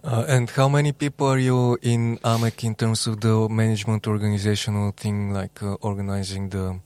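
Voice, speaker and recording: Flat-voiced Bulgarian-accented male host. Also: on the low side, fairly quick, clean tone, dry recording. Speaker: podcast host. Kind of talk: casual interview questions.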